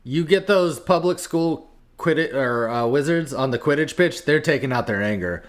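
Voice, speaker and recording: Animated, irreverent male podcast co-host. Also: on the high side, moderate pace. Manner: blunt, irreverent, profane. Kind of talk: casual comic banter.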